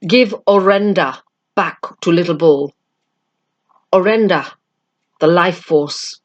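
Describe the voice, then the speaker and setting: A woman's voice: on the low side, takes it slowly, lo-fi sound. A woman voicing a proud, stern warrior chief in a storybook, with clipped, emphatic broken English. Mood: solemn, proud.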